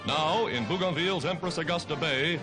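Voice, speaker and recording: High-pitched American 1940s newsreel man. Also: fairly quick. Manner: dramatic, emphatic, declamatory. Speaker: newsreel narrator. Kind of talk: 1940s newsreel narration, scripted. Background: loud music.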